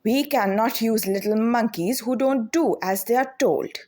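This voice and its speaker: A woman reading the part of a brisk, authoritative male show director in a children's storybook. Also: on the high side, dark tone. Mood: angry, stern. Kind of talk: children's storybook read-aloud.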